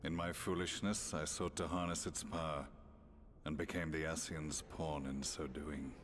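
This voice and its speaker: Very deep grave former commander. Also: male voice, steady tone, fairly bright tone, slight background noise. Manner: solemn, measured, archaic formal diction. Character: grave, formal, remorseful middle-aged male former imperial commander. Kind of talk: video game cutscene voice acting.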